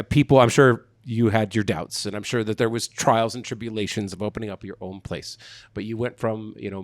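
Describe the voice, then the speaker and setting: A man's voice: medium pitch, no echo. Chatty rambling male podcast host. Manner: rambling and joking, heavy on fillers and restarts. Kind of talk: casual interview chat. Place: studio.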